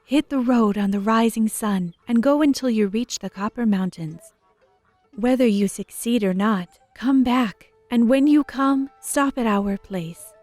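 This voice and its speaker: Woman playing a high-voiced kindly fairy-tale maiden. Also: a little slow, darkish tone, no echo, soft background music, close to an everyday voice. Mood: kindly, helpful. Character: kind young fairy-tale maiden, sister of the sun. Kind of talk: children's storybook cartoon voicing.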